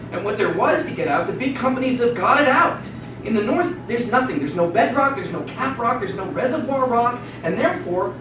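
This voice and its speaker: Man acting a frightened, insistent worker, very echoey. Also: high voice. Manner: insistent, emphatic, repetitive. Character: frightened, defeated male oil worker wanting to flee. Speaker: stage actor in a dramatic reading. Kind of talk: theatrical dramatic reading. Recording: very echoey noisy hall, distant microphone.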